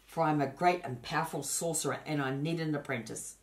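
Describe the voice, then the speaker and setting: A woman's voice: low-pitched, a little fast. A woman voicing a proud, powerful male sorcerer in a storybook, boastful and commanding in manner. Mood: grand, inviting.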